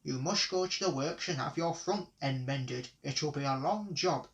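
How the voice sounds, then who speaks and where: A man voicing a pompous, stern railway boss who speaks in measured, important tones. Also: on the high side, natural voice. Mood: stern official. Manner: measured, impressive pauses.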